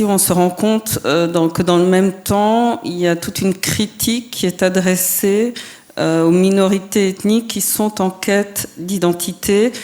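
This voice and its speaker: A woman from France with a slightly low voice speaks as a guest on a public panel. She answers carefully and deliberately, often pausing to hesitate as she works through her ideas. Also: a little mellow. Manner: thoughtful, deliberate, frequent hesitations. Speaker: guest, filmmaker and writer.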